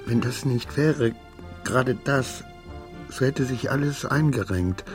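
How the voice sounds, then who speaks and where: A man's voice: mid-range voice, slow pace, faint music behind, lightly acted. An actor playing a distraught, obsequious man losing his grip in a radio play, rambling and questioning himself in formal, old-fashioned phrases. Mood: desperate, brooding.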